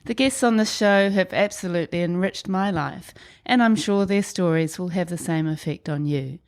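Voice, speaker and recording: A New woman hosts a podcast in a slightly low voice. She reads her intro from a script, then settles into relaxed, encouraging conversation with her guest. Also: normal speed. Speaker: podcast host. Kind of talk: scripted intro then casual interview chat.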